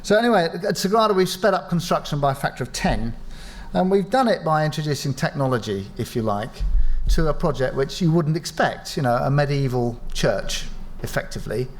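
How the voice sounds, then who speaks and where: A man from an engineering firm speaking on a panel at a live event, measured and reflective, modest about his own part in the work. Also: on the high side, animated. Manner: measured, reflective, self-deprecating. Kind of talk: panel talk. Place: event space with live audience.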